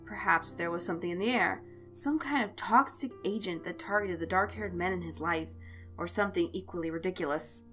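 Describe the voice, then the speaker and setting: A woman reading a story aloud as an expressive narrator, telling it in character-driven prose. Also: fairly low pitch, a little fast. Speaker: fan fiction narrator. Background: background music.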